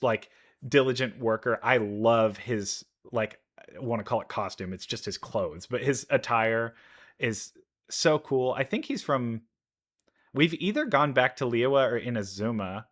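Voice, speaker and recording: A man giving casual, enthusiastic reaction commentary, halting as he thinks aloud. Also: on the low side, a bit bright. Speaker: reaction video host, gamer.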